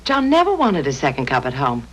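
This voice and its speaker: A woman's voice: extremely low pitch, wildly swooping pitch, brisk, crowd noise, full character voice. A woman playing an earnest housewife confiding in a 1970s TV commercial, recorded on humming old tape. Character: earnest housewife confiding about her coffee.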